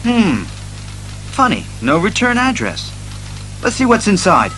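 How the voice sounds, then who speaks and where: An actor playing a serious, commanding masked detective hero, earnest and deliberate, in an audio drama. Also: male voice, high-pitched, wildly swooping pitch, over music, exaggerated voice, poor audio. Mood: grave.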